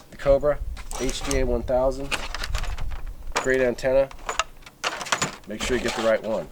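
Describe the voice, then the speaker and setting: A man giving a casual, off-the-cuff product review, rambling a little and repeating himself for emphasis. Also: fairly low pitch. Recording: clearly noisy recording with clicks.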